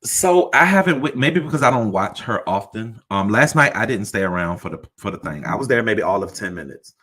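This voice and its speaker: A man with a deep voice hosting a casual chat, talking in a laid-back, rambling way. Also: animated, fairly quick, sharp tone. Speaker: host.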